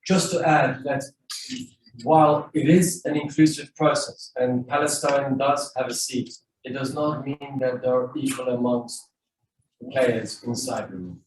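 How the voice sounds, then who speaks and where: A man on a workshop panel, explaining a process in a measured way. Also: mid-range voice, steady tone. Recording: echoey room from a distance, faint noise.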